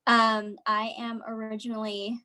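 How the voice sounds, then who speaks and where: A young woman born in Vietnam, a medical student, introducing herself in a friendly, unhurried way on a video call. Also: on the high side, flat delivery, sharp tone.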